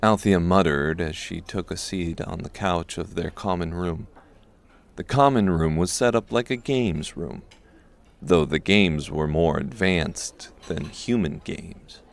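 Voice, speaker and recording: A man narrates a dark fantasy audiobook from a script. His voice is low, with a gravelly creak running through it. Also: unhurried.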